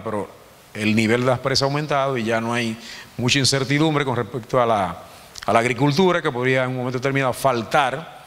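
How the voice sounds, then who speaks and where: A Dominican man in his fifties teaches a Sunday congregation in a loose, conversational way, tossing in jokes and checking in with his listeners. His voice sits at an ordinary middle pitch. It rings out with a long reverb, as in a large hall. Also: fairly bright tone. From Dominican Republic. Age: 50s. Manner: conversational, joking, asks the congregation "¿verdad?". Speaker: church teacher. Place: church hall.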